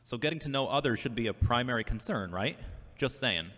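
A man's voice: medium pitch, lively intonation, a little fast, fairly bright tone. A man playing an earnest, devout, searching younger brother who questions himself, in an amateur audio drama. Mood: earnest, reasoning. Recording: reverberant hall-like sound.

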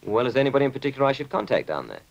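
A man's voice: medium pitch, very animated, racing, creaky, no room sound, highs cut off. An actor playing a brash, overconfident publicity man in a film comedy, with a breezy, glib manner. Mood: eager, polite.

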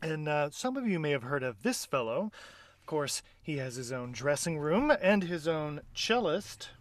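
A man's voice: fairly high pitch, very animated, moderate pace. Playful man hosting a live audio play. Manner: playful, chatty, mock-whispering asides. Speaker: host and actor of an audio play. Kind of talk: scripted pre-show narration. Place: closet or desk at home.

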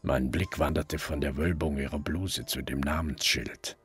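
A very low male voice over clear background music. a gruff, weary middle-aged detective recounting his story in a radio crime drama. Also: fairly even intonation, unhurried, a bit bright, heavily acted. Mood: brooding. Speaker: narrator and lead actor. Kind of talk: German radio crime drama narration and dialogue.

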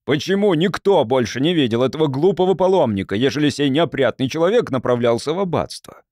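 A man's voice: a little high, lively intonation, fast talker, darkish tone, no echo, clean studio sound, lightly acted. A man acting a stern, irritable abbot. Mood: angry, indignant. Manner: scolding, authoritative.

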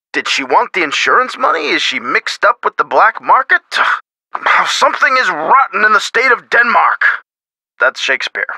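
Bright-voiced, chummy middle-aged lawyer. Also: male voice, a little high, no room sound, exaggerated voice, low-quality recording. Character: genial, avuncular middle-aged male lawyer and museum board member. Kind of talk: video game voice acting.

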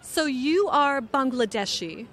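A middle-aged woman reporter interviewing tourists outdoors with a handheld mic, speaking slowly and clearly for learners. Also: high-pitched, lively intonation, a bit bright. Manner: upbeat, enunciates carefully. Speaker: reporter host of an English lesson. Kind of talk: slow, clear scripted interviewing. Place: outdoors on city streets. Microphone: handheld microphone.

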